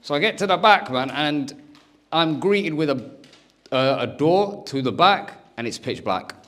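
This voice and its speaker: A young man doing stand-up, telling stories in a lively way and acting out the people in them. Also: fairly high pitch, a little slow. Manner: animated, acts out every character's lines. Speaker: stand-up comedian. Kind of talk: stand-up storytelling. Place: comedy stage.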